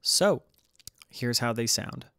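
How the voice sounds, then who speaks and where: Man, casual tutorial narration, hesitant informal delivery, mid-pitched, very dry close recording. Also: expressive, takes it slowly, fairly bright tone, clean tone, no echo. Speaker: music production tutorial presenter.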